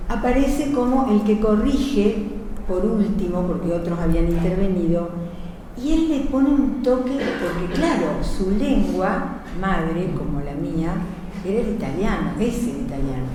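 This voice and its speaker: A woman's voice: medium pitch, animated, measured pace, noisy background. A woman poet with an Argentine Spanish accent, telling stories at a book presentation in an expansive, self-correcting way. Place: event hall. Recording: echoey hall from far off.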